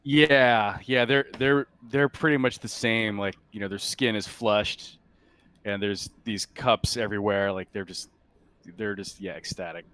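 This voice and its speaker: A man narrating a tabletop sci-fi game as game master, casually describing scenes in a halting way. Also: on the low side, a bit bright, clean tone.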